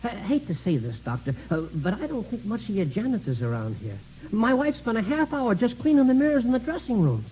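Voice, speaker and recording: Folksy American janitor, mellow and high, rambling, humble, colloquial; hissy old radio sound. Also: male voice, big pitch swings, brisk, hardly any pauses, poor audio. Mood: folksy, chatty. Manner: rambling, self-deprecating, colloquial, stammering. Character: talkative, folksy, down-on-his-luck janitor and father. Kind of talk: 1950s American radio comedy-drama acting. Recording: hissy early 1950s radio recording.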